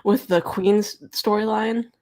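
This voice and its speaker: A woman's voice: medium pitch, steady tone, slow pace, a little mellow. A woman chatting casually and frankly as a panelist on a book discussion livestream. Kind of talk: casual chat. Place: remote livestream call.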